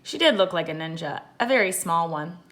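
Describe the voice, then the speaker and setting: A woman, a teacher, reading a children's adventure story aloud with deliberate, expressive emphasis. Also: fairly low pitch.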